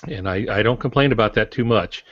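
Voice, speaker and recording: Man, low voice, casual explanatory podcast talk, frank, dull lo-fi sound. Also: fairly quick, fairly bright tone. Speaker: author, podcast guest or panelist. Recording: dull, roomy podcast audio.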